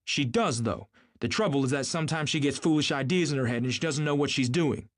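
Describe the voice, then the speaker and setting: A man's voice: mid-range voice, animated, brisk, pitched above the performer's own voice, close to an everyday voice. Man acting a pompous, blustering rich husband. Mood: insistent, earnest. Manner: snapping, pompous, incredulous. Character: arrogant, indignant, blustering wealthy husband, a grown man.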